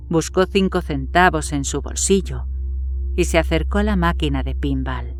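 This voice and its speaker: Low-pitched woman narrating a horror story. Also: moderate pace, soft background music.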